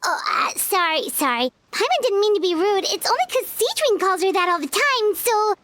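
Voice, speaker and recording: A woman's voice: very animated, normal speed, a bit bright, full character voice. A woman voicing a tiny, childlike fairy companion in a video game, earnest and naive. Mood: flustered. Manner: squeaky, naive, speaks of herself in third person.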